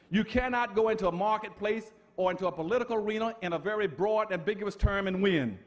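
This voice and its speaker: A man giving an impassioned public lecture, emphatic and preacher-like, firing off rhetorical questions. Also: high voice.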